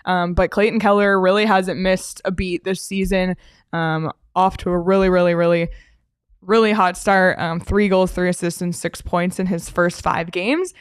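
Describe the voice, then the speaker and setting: A woman hosting a hockey talk show, guiding the conversation in a relaxed, casual way with frequent fillers. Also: lowish voice, normal speed, smooth, dry recording, studio quality. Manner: steady, uses fillers, leads the segment. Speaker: sports show host.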